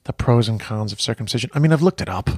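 A man's voice: mid-range voice, lively intonation, quick pace, darkish tone, husky, dry recording, clean studio sound. A man in his forties with an American accent hosting a podcast in casual chat, rambling with frequent restarts. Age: 40s. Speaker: podcast host.

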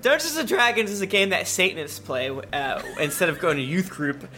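A man's voice: high voice, sing-song, pushed and bright, soft background music. Goofy guy cracking jokes at the d&d table, bouncy voice. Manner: joking, goofy, quick asides. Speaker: podcast player. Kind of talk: casual podcast banter.

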